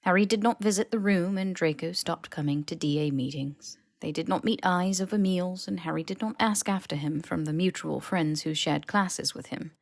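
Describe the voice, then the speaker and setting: Woman narrating an audiobook, lowish voice w some fry, wry storyteller, really dry close sound. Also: moderate pace, hardly any pauses, dry recording. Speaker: podfic narrator.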